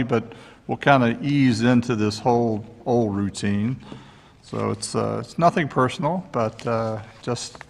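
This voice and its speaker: A man's voice: medium pitch, slight background noise. Easygoing male presiding judge. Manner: easygoing, joking. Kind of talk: opening a court session. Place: courtroom. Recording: long courtroom reverb.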